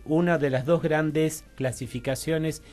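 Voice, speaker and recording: Argentine man, halting didactic explainer. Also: a little high, fairly even intonation, darkish tone, soft background music. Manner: didactic, halting, frequent checking tags. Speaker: interview guest, university teacher and researcher. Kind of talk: radio interview, academic explanation.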